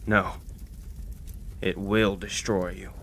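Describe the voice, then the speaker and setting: An actor playing a bitter, grumbling old god of the forge in an audio drama. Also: male voice, sits low, flat delivery, slow pace, creaky, heavy background noise, full character voice. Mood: grave warning. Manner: grumbling, rambling about old grievances. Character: grumpy, bitter old blacksmith god, crippled.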